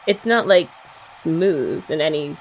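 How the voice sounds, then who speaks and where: Young woman, ASMR girlfriend roleplay; playful, teasing, lively pitch, some vocal fry, faint dryer noise behind. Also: slow, rough recording. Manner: playful teasing chat, soft-spoken. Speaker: ASMR creator.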